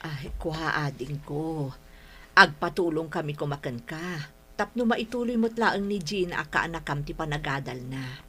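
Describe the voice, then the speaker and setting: A woman's voice: low-pitched, unhurried, sharp tone. An actress playing a caring, hard-up middle-aged mother in a radio drama, tender and pleading, with an Ilocano accent. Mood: humble pleading.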